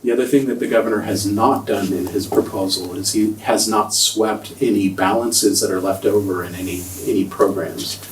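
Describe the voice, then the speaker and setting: A soft-spoken man giving a budget presentation at a school board meeting, hesitant with many ums. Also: fairly low pitch, steady tone, moderate pace, hardly any pauses. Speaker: school district finance presenter. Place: large meeting room. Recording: echoey room, distant mic, faint noise.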